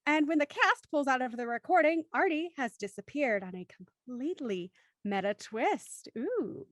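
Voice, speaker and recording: A woman with a very high voice hosts a livestream in a dry, close sound. Her manner is bubbly and enthusiastic as she chats with and introduces a cast. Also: lively intonation, moderate pace, no room sound. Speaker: host introducing an online show's cast. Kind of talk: livestream hosting, chatty banter.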